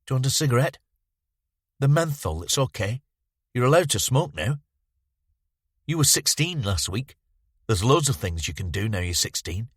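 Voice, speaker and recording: A man reading aloud, voicing an odd young boy who talks in a soft, ingratiating way. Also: mid-range voice, sing-song, normal speed, pauses often, slightly rough, pitched above the performer's own voice.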